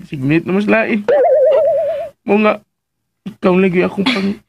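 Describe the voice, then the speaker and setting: An actor with a Filipino accent playing a playful, lovesick suitor in a radio drama, cooing and sulking. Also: male voice, sits high, fairly even intonation, a little slow, creaky, slight background noise. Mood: sulky, coaxing. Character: playful, lovesick suitor who sulks and coaxes.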